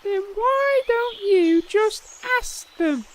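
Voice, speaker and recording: Someone doing squeaky-high young squire, meek n polite, slow talker, long-suffering, fantasy comedy. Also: expressive, exaggerated voice. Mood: insistent. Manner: deferential, hesitant, patient. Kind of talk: comedy fantasy sketch acting.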